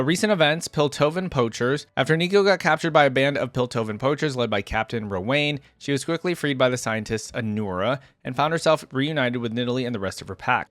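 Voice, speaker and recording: Fast-talking man, dry close sound. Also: medium pitch, a bit bright, dry recording. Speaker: reaction video host. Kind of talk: reading wiki lore aloud with casual commentary.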